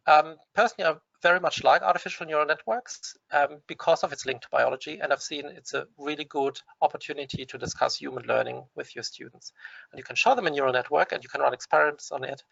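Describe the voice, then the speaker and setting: Man, German accent, webinar presenter, gravelly, dull dry computer audio, hesitant restarts. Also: mid-range voice, fairly bright tone, no echo. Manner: hesitant, frequent restarts and fillers. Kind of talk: explanatory webinar talk.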